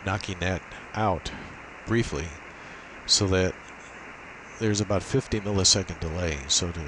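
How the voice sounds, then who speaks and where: A man with a deep, low-pitched voice talks through a technical demonstration. He explains methodically, one step at a time, without a script. A clear layer of hissy noise sits behind his voice. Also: measured pace. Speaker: amateur radio demonstrator. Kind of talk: unscripted technical walkthrough. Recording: steady hiss and noise behind voice.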